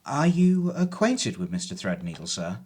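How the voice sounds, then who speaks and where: Polite, animated young errand boy. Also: mid-range voice, moderate pace, breathy edge. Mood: deferential. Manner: deferential, formal, careful speech. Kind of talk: period audio play acting.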